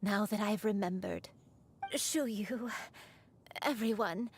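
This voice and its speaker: A woman voicing a gentle, remorseful old granny who is secretly a crane spirit, confessing tenderly in a video game. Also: mid-range voice, takes it slowly, a little hiss or hum. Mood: wistful confession.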